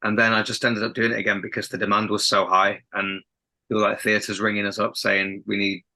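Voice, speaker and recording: Bright-voiced man with a faint Sheffield accent. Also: lowish voice, little pitch movement, brisk, poor audio. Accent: Sheffield, softened. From England. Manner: rambling, self-interrupting, laughs often. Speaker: band lead singer, guest. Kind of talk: casual podcast interview.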